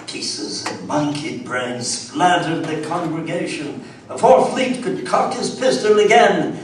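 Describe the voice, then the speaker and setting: A man's voice: on the high side, animated, takes it slowly, slight background noise. A man playing a haunted colonial Englishman who narrates a horror tale in a theatrical, suspenseful on live radio theatre. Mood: horrified, intense. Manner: theatrical, suspenseful, dwells on words. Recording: echoey hall, distant mics, live audience.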